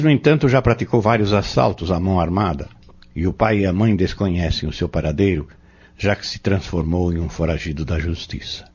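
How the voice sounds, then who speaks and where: A man with a Brazilian accent playing an earnest spiritual teacher in an audio novel, explaining in measured phrases and rhetorical questions. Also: on the low side, darkish tone, breathy edge, exaggerated voice. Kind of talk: dramatized audio novel dialogue, didactic. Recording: dull lo-fi audio.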